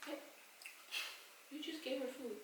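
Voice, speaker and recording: A woman's voice: high voice, unhurried, noisy background. A woman asking a friend questions in a casual chat, playful and teasing. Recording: echoey room, mic at a distance.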